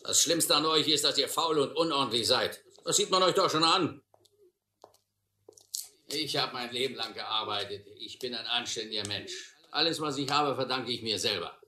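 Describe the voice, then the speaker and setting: A gruff householder in a radio play, preaching and scolding with self-righteous force. His voice is very sharp and tense, with a roomy echo around it. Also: medium pitch, normal speed. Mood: scolding, preachy. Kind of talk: German radio play acting.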